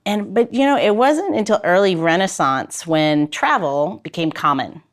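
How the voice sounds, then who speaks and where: A woman's voice: on the low side, animated, moderate pace. A woman hosting a podcast in a chatty, playful way, moving between banter and loosely scripted segments. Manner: playful, prone to chuckling. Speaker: podcast host.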